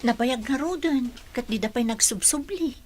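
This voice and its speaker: A woman's voice: on the high side, moderate pace, a little mellow, natural voice. A woman playing a forthright, supportive village friend in an Ilocano radio drama, with an Ilocano accent. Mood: frank. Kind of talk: radio comedy-drama acting.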